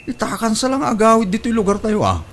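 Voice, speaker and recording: An actor with an Ilocano accent playing a smitten, eager young bachelor wooing in an exaggerated comic radio-drama style. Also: male voice, high-pitched, sing-song, quick pace, noisy background. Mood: flattering, excited.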